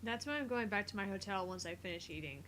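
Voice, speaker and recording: A woman's voice: medium pitch, lively intonation, quick pace, noisy background, close to an everyday voice. A woman voicing a tired, sarcastic young scientist in a visual novel read-through, dry and dismissive.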